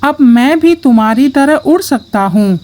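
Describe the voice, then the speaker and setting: A woman's voice: sits high, expressive, measured pace, mellow. A woman voicing a young male horse, wishful and boastfully determined, in a children's cartoon story. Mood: proud.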